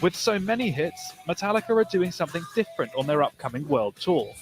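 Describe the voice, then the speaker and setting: A man's voice: on the high side, very animated, fairly quick, over loud music. A man narrating a scripted news report as a reporter.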